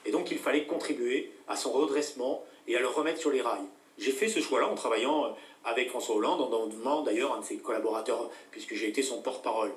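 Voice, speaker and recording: A middle-aged man, a political guest on a talk show, speaking deliberately with many hesitations and fillers. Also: medium pitch, roomy sound, distant mic.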